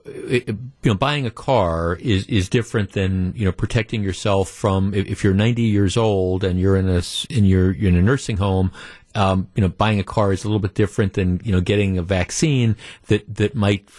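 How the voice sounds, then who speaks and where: Dry-voiced radio host, low. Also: male voice, fairly even intonation, normal speed, a little mellow, no room sound. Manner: conversational, many restarts and fillers; reads listener emails aloud. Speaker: radio talk show host. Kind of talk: call-in radio talk.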